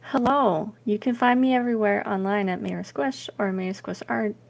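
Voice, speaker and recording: A woman's voice: mid-range voice, steady tone, moderate pace, vocal fry. Easygoing woman introducing herself. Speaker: guest artist. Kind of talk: casual self-introduction.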